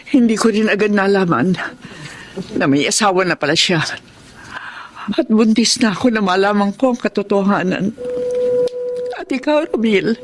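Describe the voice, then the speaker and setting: An actress playing a regretful middle-aged mother of a grown son in a radio drama, solemn and measured. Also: medium pitch, a little hiss or hum, close to an everyday voice. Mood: regretful, confessional. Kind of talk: Tagalog radio drama acting. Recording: music under the voice.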